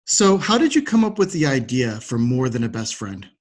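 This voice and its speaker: A man's voice: mid-range voice, big pitch swings, normal speed. A man, politely hosting a podcast interview. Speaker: podcast host.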